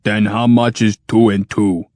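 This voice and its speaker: A man voicing a dim-witted, stubborn giant machine that booms slowly and flatly. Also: no room sound, lightly acted. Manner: booming slow and flat.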